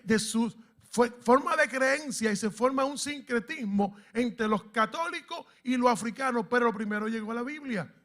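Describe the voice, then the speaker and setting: A male preacher with a notably high-pitched voice. He teaches emphatically from the pulpit and keeps asking his congregation questions as he goes. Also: sharp tone. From Dominican Republic and Puerto Rico. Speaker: pastor.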